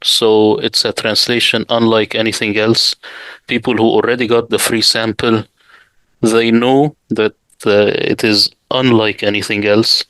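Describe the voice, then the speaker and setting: A man's voice: on the low side, little pitch movement, a little slow, a bit bright, no echo, poor audio. A man from the Middle East whose first language is Arabic, speaking as an interview guest about his translation work and repeating his key points with emphasis. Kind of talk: interview conversation.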